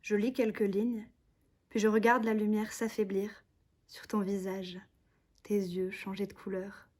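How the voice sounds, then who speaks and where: A woman's voice: mid-range voice, level tone, a little slow, a little mellow. A young woman, a conservatory student, giving a recitation of literary poetry. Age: young adult.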